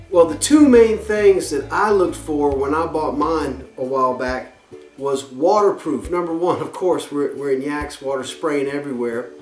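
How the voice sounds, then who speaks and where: A man's voice: on the high side, roomy sound, far from the mic. A man in his thirties hosting a kayak fishing show, answering viewer questions to camera in an upbeat, chatty way from his living room. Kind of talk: casual Q&A to camera. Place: living room, seated on a couch.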